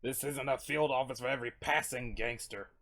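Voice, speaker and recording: A performer voicing a gruff, suspicious, weary shopkeeper from a comic, with a curt grumbling manner. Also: mid-range voice, fairly even intonation, a little fast, fairly bright tone, lightly acted. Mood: irritated, grudging.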